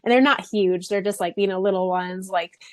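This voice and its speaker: A woman's voice: medium pitch, a little fast, dry recording. A woman co-hosting a podcast, chatting casually in a giggly, folksy, informal way. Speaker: podcast co-host. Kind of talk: casual chat, anecdote telling.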